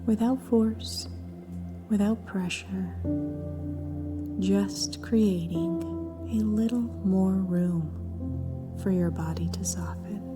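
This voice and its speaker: Slow, soft woman guiding meditation over music. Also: mid-range voice. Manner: gentle, soft, with long pauses between short phrases. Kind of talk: scripted guided meditation. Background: background music.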